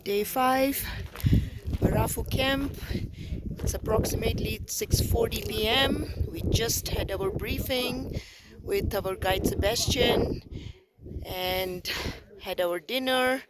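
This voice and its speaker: A hoarse woman narrating her mountain climb in a slow, breathless, casual way, filming outdoors on a handheld camera. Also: fairly high pitch, heavy background noise. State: hoarse, lost voice. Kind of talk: casual travel vlog narration.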